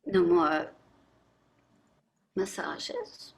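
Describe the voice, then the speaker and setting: A woman's voice: lowish voice, wildly swooping pitch, drawn-out words, slightly rough, heavily acted. An actress in a staged play reading, playing a wealthy, entitled society wife and mother with an affected, gushing manner. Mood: indignant, flirtatious. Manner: affected, gushing, effusive endearments.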